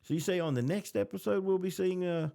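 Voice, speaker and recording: A man's voice: sits high, fairly quick, gravelly, dark tone. An American man hosting a podcast, chatting casually about TV shows in reaction to them. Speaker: podcast host.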